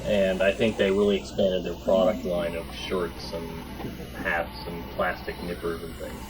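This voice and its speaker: A man's voice: low voice, flat delivery, measured pace, music in the background. A man giving an informal, informative show-and-tell talk in a conversational way. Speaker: head of a library, club speaker. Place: club meeting room. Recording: noisy live room recording.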